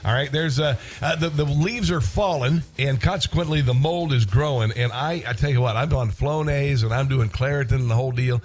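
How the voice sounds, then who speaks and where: A man's voice: medium pitch, fairly quick, hardly any pauses. Congested American man hosting talk radio. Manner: wry, repeats phrases for emphasis. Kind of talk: casual opinionated monologue.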